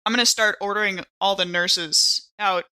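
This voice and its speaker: A woman's voice: medium pitch, steady tone, moderate pace, sharp tone, smooth, poor audio. A woman playing a tabletop roleplaying game, bantering and reacting casually.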